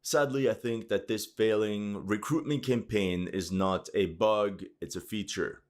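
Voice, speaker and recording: Male non-native English narrator, pointed. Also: on the low side. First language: not English. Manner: measured, pointed rhetorical questions. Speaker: video essayist in played clip. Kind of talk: scripted political narration.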